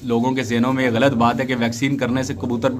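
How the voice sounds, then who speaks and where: A man's voice: mid-range voice, level tone, fast. A man with a Pakistani Urdu accent, an interviewer asking respectful questions and agreeing often in a casual interview. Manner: deferential, constant short agreements.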